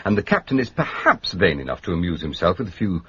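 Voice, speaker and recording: An actor playing a mild, urbane gentleman detective with an upper-class English accent in a radio drama. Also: low voice, sing-song, quick pace, husky, exaggerated voice. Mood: musing. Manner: light, polite, musing. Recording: dull, lo-fi radio recording.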